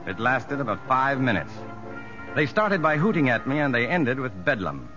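An actor playing an earnest, educated prison psychologist, somewhat uneasy, in a 1950s radio drama. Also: male voice, medium pitch, very animated, moderate pace, over music, lightly acted. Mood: narrating. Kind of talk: 1950s radio drama acting with first-person narration. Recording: muffled 1950s radio recording.

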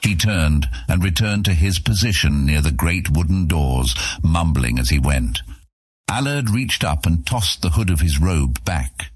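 A man with a deep, husky voice reading scripted fantasy audiobook narration. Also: animated, measured pace, dry recording.

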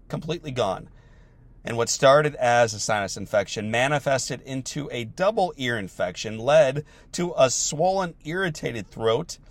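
A slightly hoarse man, still recovering from laryngitis, giving an earnest, reflective motivational talk and repeating key phrases for emphasis. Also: medium pitch.

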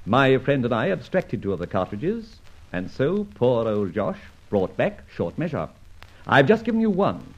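A suave masked adventurer. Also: male voice, medium pitch, huge pitch swings, unhurried, full character voice. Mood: commanding. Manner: confident, mocking, commanding. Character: suave masked gentleman adventurer and narrator, man. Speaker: narrator. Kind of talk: 1940s radio acting. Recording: dull old radio recording.

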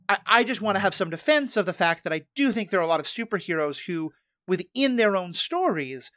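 Animated podcast host, dry sound. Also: mid-range voice, no room sound. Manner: animated, fast bursts, thinking aloud with restarts. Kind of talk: conversational interview.

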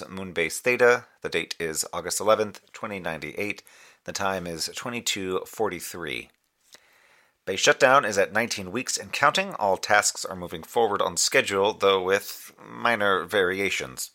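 A moonbase communications officer in a sci-fi audio drama. The voice is very deep yet bright and sharply projected, with measured, officious phrasing and a dry, wry streak. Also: male voice. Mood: formal, wry. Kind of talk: scripted audio drama broadcast report.

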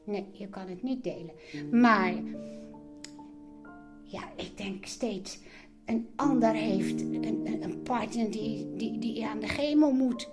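Higher-voiced older woman, emphatic. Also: takes it slowly. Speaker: interviewee, wife and mother. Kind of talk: personal conversation. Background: music.

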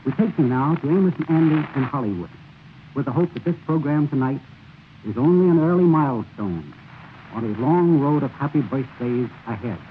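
A man giving a formal, measured prepared speech as a broadcasting executive. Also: mid-range voice, expressive. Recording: noisy, narrow-band 1930s radio broadcast.

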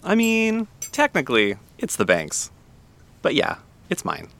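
An actor playing a friendly, chatty small-town cafe owner with a folksy, easygoing manner in an audio drama. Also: male voice, a little high, huge pitch swings, a little slow, a bit bright, heavily acted. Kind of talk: naturalistic audio drama acting.

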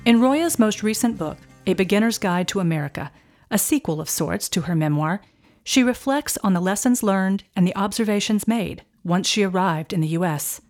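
A woman host giving scripted documentary narration in a steady, composed delivery. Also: medium pitch, normal speed, faint music behind. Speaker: podcast narrator.

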